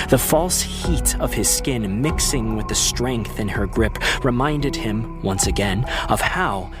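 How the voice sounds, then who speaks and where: A man playing a brooding narrator of a gothic vampire tale, reading solemnly with measured, dramatic pauses. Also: medium pitch, close to an everyday voice. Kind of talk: scripted story narration.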